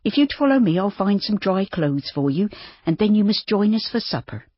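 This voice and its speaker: A reader voicing a bustling, kindly farmer's wife who is motherly and brisk. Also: mid-range voice, sing-song, husky, no room sound, lo-fi sound, pitched above the performer's own voice.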